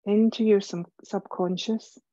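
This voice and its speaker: A woman leading a guided relaxation meditation as a yoga teacher, gentle and soothing, speaking in measured phrases with pauses. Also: medium pitch, fairly even intonation, no room sound, highs cut off.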